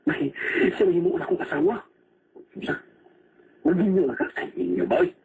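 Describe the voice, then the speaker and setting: An actor playing a lively seaman and womanizer in a radio drama, with a Cebuano Filipino accent. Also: sits high, moderate pace, close to an everyday voice. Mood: eager. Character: Rani, lively Filipino seaman, womanizer turned earnest suitor. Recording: faintly noisy radio broadcast.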